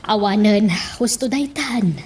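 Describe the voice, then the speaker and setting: A woman's voice: mid-range voice, a little slow, mellow, far from the mic, slight background noise, natural voice. A woman in a radio drama playing an easygoing, matter-of-fact woman leaving on holiday. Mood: casual, polite. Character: easygoing woman departing for a vacation.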